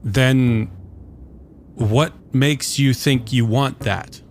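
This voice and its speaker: A man voicing a drowsy, flustered and reluctant man who speaks hesitantly and haltingly. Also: medium pitch, slight background noise. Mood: wary.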